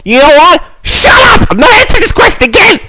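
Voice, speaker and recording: A man's voice: very high voice, very animated, brisk, very noisy. A man hosting a casual audio log, reading out audience questions and answering them in a theatrical, mock-grand manner.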